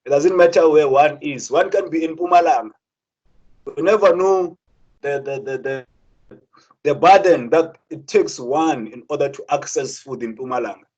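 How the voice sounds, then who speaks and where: A man's voice: a little high, normal speed, darkish tone, lo-fi sound. A young South African man speaking earnestly, with many repeated words and restarts, as a student representative. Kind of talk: impassioned spoken talk.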